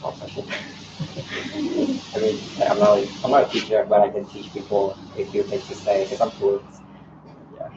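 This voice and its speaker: Man, lowish voice, mellow tone, hesitant with many ums, echoey room, dull lo-fi audio. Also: slight background noise. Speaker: election candidate. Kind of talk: answering candidate questions.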